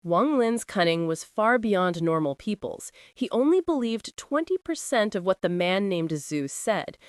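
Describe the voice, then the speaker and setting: A woman's voice: medium pitch, big pitch swings, unhurried, smooth, no room sound, studio quality. A woman reading a fantasy novel aloud as an audiobook narrator, with intense, dramatic storytelling.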